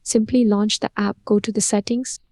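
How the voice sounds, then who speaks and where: A woman narrating a scripted product promotion in a smooth, upbeat, evenly paced read. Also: mid-range voice, level tone, moderate pace, darkish tone.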